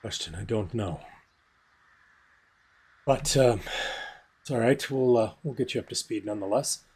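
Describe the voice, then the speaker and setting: A man's voice: mid-range voice, normal speed, darkish tone, husky. A man voicing a tired, courteous male village leader in a tabletop game. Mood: weary, courteous.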